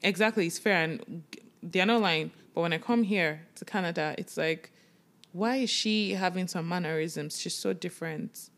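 A woman in her twenties, co-hosting a podcast in casual chat and backing up her co-host with quick agreement. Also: fairly low pitch. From Nigeria. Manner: agreeing, supportive, animated when quoting others.

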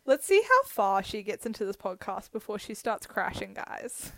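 A woman's voice: mid-range voice, very animated, moderate pace, no room sound. A young university student, co-hosting a casual podcast, teasing and giggling as she talks with her friend. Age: young adult. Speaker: podcast co-host. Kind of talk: casual chat between friends.

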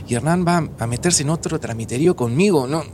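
A man's voice: fairly high pitch, fast, background noise, lightly acted. An actor playing an earnest, insecure middle-aged man with a Rioplatense Argentine accent, speaking hesitantly in a naturalistic audio drama. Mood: guilty, anxious.

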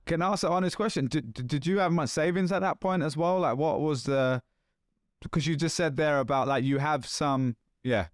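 A man's voice: fairly high pitch, a little fast, dry recording, clean studio sound. Chatty London man hosting a podcast. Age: 30s. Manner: chatty, animated, loose with slang. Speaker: podcast host. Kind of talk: casual podcast chat.

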